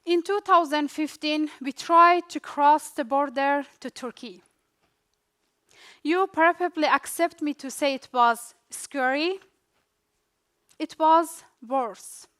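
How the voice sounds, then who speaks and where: A young woman from Afghanistan with an Afghan accent, whose first language is Dari, giving a rehearsed personal storytelling speech in short, deliberate phrases. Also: high-pitched, fairly bright tone. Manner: slow, deliberate delivery in short dramatic phrases with pauses. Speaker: refugee speaker.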